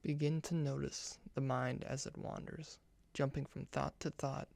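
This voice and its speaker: A soft, hushed man guiding a meditation in ASMR style, speaking gently in measured short phrases. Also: mid-range voice, flat delivery, gravelly.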